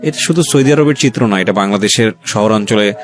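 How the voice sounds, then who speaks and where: A man's voice: lowish voice, quick pace. A man with a Bangladeshi accent hosting a radio interview, addressing listeners reflectively and mixing English words into his Bengali. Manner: hesitant phrasing, mixes English words into Bengali. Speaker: radio show host interviewing a guest. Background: faint music.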